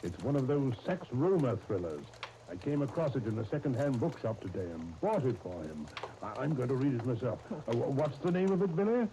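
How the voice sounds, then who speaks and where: An actor playing a mild, genteel gentleman in a 1930s radio drama, formal, polite and a little hesitant. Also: medium pitch, very animated, brisk. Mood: courteous. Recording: old 1930s radio disc, crackling noise.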